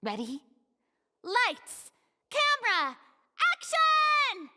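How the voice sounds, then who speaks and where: A flamboyant young female film director in a video game. Her bright voice swings widely as she commands her set in a theatrical, unhurried way, with music behind her and a long reverb. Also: very high-pitched, huge pitch swings, full character voice. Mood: commanding. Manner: theatrical, commanding, crisp calls to cast and crew. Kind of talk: scripted video game voice acting.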